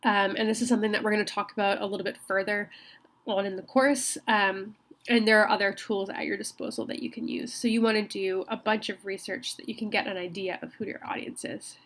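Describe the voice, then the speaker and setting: A woman with a slight cold walks her students through a recorded college lecture. She talks in a friendly, conversational way, often pausing on ums and starting her sentences over. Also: medium pitch, fairly even intonation, fairly bright tone. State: has a cold. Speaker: college instructor.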